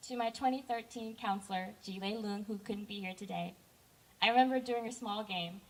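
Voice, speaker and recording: A young woman giving a heartfelt personal speech to campers, earnest and warm in tone. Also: mid-range voice, fairly quick, distant mic, poor audio. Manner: heartfelt, earnest, with brief self-corrections. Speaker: camp director giving a speech.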